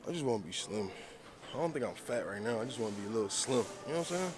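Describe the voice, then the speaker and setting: A man's voice: medium pitch, expressive, rapid-fire, noisy background. A young man vlogging a workout with friends in a large indoor gym, joking and trash-talking between sets. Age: young adult. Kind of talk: casual banter at the gym. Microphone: handheld camera nearby. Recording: gym music behind the voice. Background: music playing.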